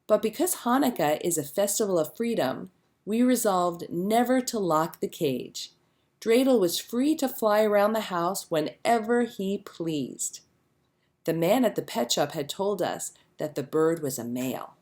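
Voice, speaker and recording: A woman reading a children's story aloud as a narrator, with expressive storytelling delivery. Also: medium pitch, a little slow. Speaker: storybook reader.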